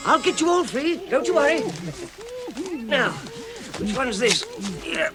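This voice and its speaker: A man's voice: very high voice, lively intonation, a little slow, over loud music, exaggerated voice. A man playing a timid but plucky hobbit adventurer in a vintage British radio drama, stammering and exclaiming to himself. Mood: urgent, caring. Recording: vintage radio recording with loud background noise.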